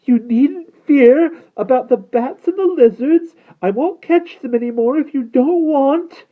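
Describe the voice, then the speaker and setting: An unhinged male vampire from a dark fantasy audiobook, pitched extremely high and strained. He swings wildly between coaxing and frantic command, cruel and desperate, through thin phone-like audio. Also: unhurried. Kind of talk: dramatic audiobook-style character reading.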